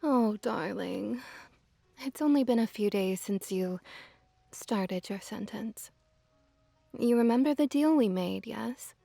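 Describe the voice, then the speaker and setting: Woman playing a slow, silky, teasing fox witch. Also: fairly high pitch, no echo, exaggerated voice. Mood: gentle, possessive. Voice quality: soft, breathy whispering at times. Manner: slow, teasing, cooing, gently dominant. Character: seductive, doting, possessive female kitsune fox witch. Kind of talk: ASMR roleplay audio. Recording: background music behind close, dry voice.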